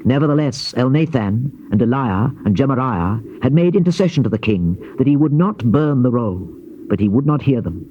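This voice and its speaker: A man's voice: medium pitch, lively intonation, natural voice. A man acting a solemn prophet, dramatically swooping delivery. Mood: solemn narration. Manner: solemn, declamatory, measured phrasing with emphatic rises. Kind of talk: dramatized scripture reading, classical stage delivery. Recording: dull lo-fi old cassette, faint hiss.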